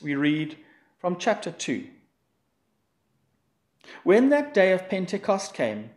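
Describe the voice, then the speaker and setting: Solemn man leading prayer and scripture. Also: high-pitched. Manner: solemn, measured liturgical reading. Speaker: pastor. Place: church.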